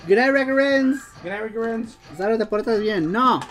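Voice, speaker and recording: A woman's voice: fairly high pitch, moderate pace. A woman hosting a casual gameplay stream, reading viewer chat aloud, laughing and swearing at the game. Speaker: streamer and show host. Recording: game music playing behind the voice. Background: music.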